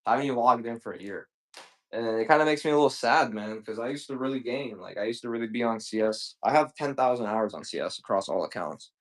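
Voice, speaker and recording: A man's voice: mid-range voice, fast talker. A man in his twenties chatting casually and profanely while selling on a livestream, rambling with lots of slang. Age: 20s. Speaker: livestream seller.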